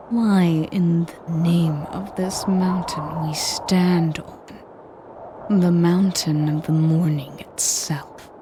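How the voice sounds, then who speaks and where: A woman playing an ancient, sadistic female air dragon in a dramatic audio roleplay, breathy and whispery, speaking deliberately with haughty, menacing condescension. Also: on the low side, background noise. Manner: slow, deliberate, condescending, taunting. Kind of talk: dramatic scripted roleplay monologue.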